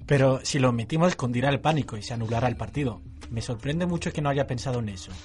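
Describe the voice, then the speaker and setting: An actor playing an earnest, concerned police investigator in a radio drama. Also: male voice, medium pitch, fast, a little mellow, soft background music, natural voice.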